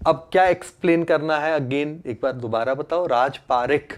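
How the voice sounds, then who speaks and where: Man, Indian accent, fairly high voice, chemistry teacher, live online lecture, informal, frequent checking questions, English terms mixed in. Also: normal speed. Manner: mixes English terms into Hindi.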